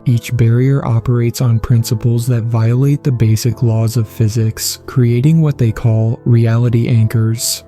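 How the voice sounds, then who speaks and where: A man's voice: mid-range voice, dark tone, natural voice. A man voicing a guilt-ridden father and secret paranormal investigator, grave and confessional, in a horror story reading. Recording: music behind the voice.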